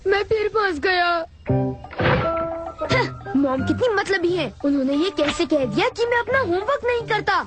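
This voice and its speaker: A woman voicing a whiny, lazy, excitable schoolboy in a Hindi cartoon dub. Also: extremely high pitch, moderate pace. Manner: whiny, pleading, childlike. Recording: music under the voice.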